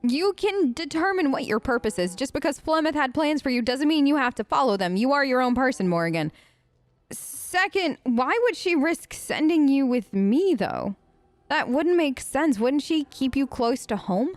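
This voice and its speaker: A woman's voice: high-pitched, very animated, normal speed, no room sound. A chatty woman doing role-play let's play commentary, answering game characters as if she were one of them. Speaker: gaming host, player.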